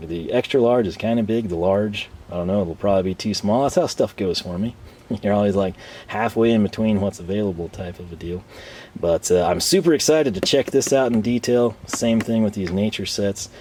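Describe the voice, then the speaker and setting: Low, mellow man rambling casually. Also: a little hiss or hum. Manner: rambling, conversational, self-deprecating. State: tired voice starting to give out. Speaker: host of tool review channel. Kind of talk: casual unscripted product haul commentary.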